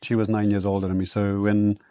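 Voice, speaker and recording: Low-voiced man speaking Afrikaans-first English. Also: brisk, dry recording. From South Africa. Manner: relaxed, reflective, with frequent ums and restarts. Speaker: podcast guest. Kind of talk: casual interview storytelling.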